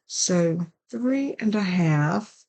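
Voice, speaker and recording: Hoarse, raspy older woman. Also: fairly low pitch, lively intonation, very slow, darkish tone. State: hoarse from a sinus infection. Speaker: card-making channel host. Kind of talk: casual craft tutorial. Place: craft desk, indoors.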